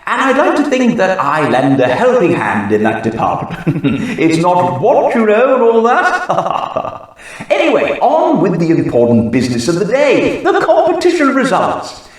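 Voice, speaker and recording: An actor playing a fussy, theatrical English village vicar in a comic radio drama, flattering and dithering as he goes. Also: extremely high pitch, heavily acted. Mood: pompous, cheery. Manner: effusive, dithering, drawn-out delivery. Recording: very echoey, reverberant room.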